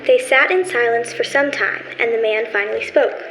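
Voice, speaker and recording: A woman's voice: fairly high pitch, moderate pace, a bit bright, a little hiss or hum. A woman narrating a scripted story in the style of an old-time radio play. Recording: echoey room, music behind.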